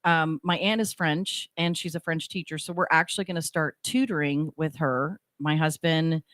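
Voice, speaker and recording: A woman's voice: low-pitched, moderate pace, a bit bright, no echo, clean studio sound. A middle-aged woman co-hosting a radio talk show, chatting in a rambling way and often restarting her sentences. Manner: rambling, self-interrupting storyteller. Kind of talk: casual chat. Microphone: studio microphone.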